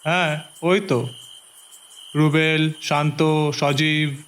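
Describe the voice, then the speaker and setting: A man's voice: fairly high pitch, fairly even intonation, extremely slow, a bit bright, smooth. A man playing a stout neighbourhood gossip in an audio drama, emphatic and accusing yet deferential. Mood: accusing, gossipy.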